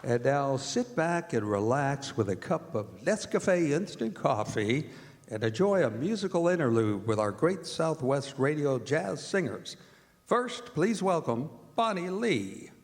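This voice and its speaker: Male American radio announcer, lively swooping delivery, vintage sponsor-read style, live in a reverberant hall. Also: on the high side, measured pace, slight background noise. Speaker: announcer and director of a radio theatre group. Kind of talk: old-time radio announcing with scripted ad reads. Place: performing arts center stage. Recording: echoey hall with music.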